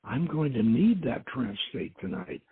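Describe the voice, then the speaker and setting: A man's voice: medium pitch, sing-song, unhurried, creaky, pitched above the performer's own voice. A man voicing a learned, intense woman archaeologist and priestess in an adventure story, speaking earnestly, as if lecturing. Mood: defensive. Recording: tinny phone-line sound.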